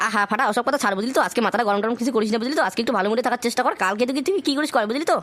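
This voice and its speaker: Fussy cartoon dad played by a man, pitched-up voice, very fast, repetitive coaxing. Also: a bit bright, dry recording. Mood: placating. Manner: repetitive nagging with questioning tag words. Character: fussy, coaxing father rousing his foul-mouthed son. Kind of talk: amateur comic cartoon dub.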